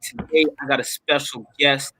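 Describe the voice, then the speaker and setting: A man's voice: medium pitch, steady tone, moderate pace, pushed and bright, low-quality recording. A man hosting an interview show in casual, colloquial chat. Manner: colloquial, says "man" and "bro" often.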